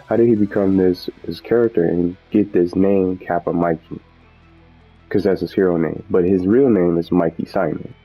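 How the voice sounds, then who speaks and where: A man giving casual, unscripted commentary as a gaming video host, rambling and often repeating and rephrasing himself. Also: sits low. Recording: dull lo-fi mic with background music.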